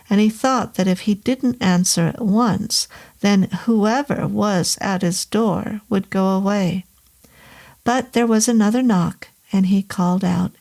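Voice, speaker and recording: A woman reading a horror novel aloud as narrator, in a measured, even way. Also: medium pitch. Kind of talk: audiobook narration.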